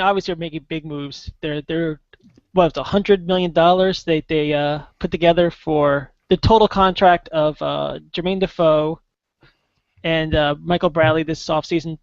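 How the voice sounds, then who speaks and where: A man talking as a guest soccer contributor in a casual podcast chat, halting with many fillers and restarts. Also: a little high, no echo. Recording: dull lo-fi podcast audio, dry.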